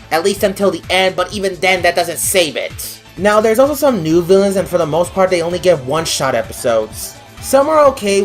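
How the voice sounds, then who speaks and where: A woman delivering a scripted video review in a blunt, exasperated, opinionated manner. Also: mid-range voice. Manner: blunt, exasperated, freely swearing. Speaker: online anime reviewer. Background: music.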